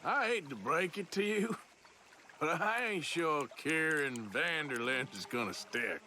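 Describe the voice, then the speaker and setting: An actor playing a gruff, sardonic cowboy outlaw in a video game, with an American Western drawl and a dry, taunting delivery. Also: male voice, fairly high pitch, expressive, a little slow, pushed and bright, a little hiss or hum. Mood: mocking. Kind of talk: video game cutscene dialogue.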